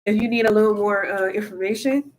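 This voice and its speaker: A woman's voice: medium pitch, fast, slight background noise. A woman reads tarot cards in a casual, chatty way, stuffed up from allergies, and keeps checking in with "all right?" and "okay?". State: congested. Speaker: tarot reader. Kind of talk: casual tarot reading to camera.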